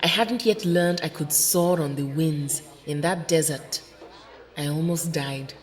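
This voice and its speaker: A woman playing a serene, kindly superheroine with a measured, formal and gentle delivery in an audio drama. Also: low-pitched. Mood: wistful. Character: serene, kindly, dignified African goddess-like superheroine.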